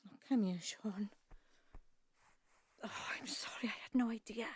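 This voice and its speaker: An actress playing a loyal, protective grown daughter in an audio drama, earnest and emotive. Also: female voice, fairly high pitch, unhurried, darkish tone, a little hiss or hum, lightly acted. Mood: consoling. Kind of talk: naturalistic audio drama acting.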